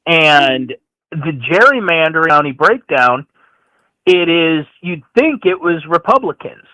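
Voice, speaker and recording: A man's voice: a little high, sing-song, unhurried, bright, forceful tone, no echo, low-quality recording. A man from the American Midwest calling a political talk show by phone, giving casual, opinionated commentary. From St. Louis, United States. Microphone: phone call.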